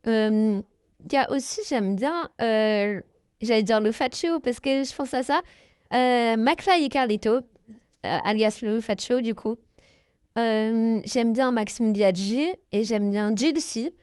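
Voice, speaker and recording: A woman's voice: a little high, darkish tone, smooth, no room sound, clean studio sound. A young woman answering her viewers' questions in a casual, hesitant chat, full of fillers and small laughs. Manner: hesitant, many fillers, giggly. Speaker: YouTuber answering fan questions.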